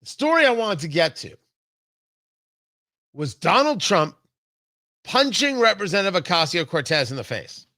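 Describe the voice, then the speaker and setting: An American man delivers political commentary in a bright, forceful voice that swings widely in pitch. He is emphatic, leaning on drawn-out stresses and repeated phrases, and the sound is close and dry. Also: big pitch swings, no echo. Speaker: political talk show host. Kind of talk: opinionated political commentary monologue.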